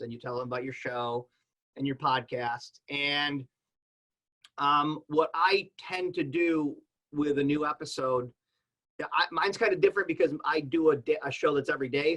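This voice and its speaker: Man, slightly high voice, casual panel advice, informal podcaster explaining by example. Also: a bit bright, rough recording.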